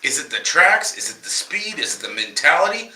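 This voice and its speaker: A man's voice: on the high side, sing-song, a little fast, sharp tone, recorded from a distance. A man co-hosting a casual livestream chat, loose and profane, slightly nasal from a cold. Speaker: podcast co-host. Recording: roomy echo, off-mic at times.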